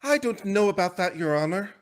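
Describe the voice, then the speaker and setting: A woman's voice: mid-range voice, animated, fairly quick, lightly acted. A woman doing a dramatic reading as a defensive trial lawyer, deferential, formal and a little flustered as she protests. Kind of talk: dramatic reading of a court transcript.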